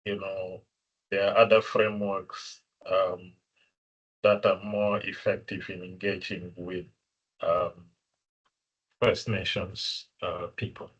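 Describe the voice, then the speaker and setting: A man speaking hesitantly and haltingly as a participant in an online workshop, over muffled call audio. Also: low-pitched, level tone, fairly bright tone, slightly rough.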